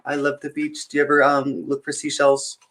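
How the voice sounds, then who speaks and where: A man's voice: mid-range voice, completely flat delivery, fairly quick, fairly bright tone. A man reading a picture book aloud as a children's story time host, adding chatty, encouraging asides to young listeners.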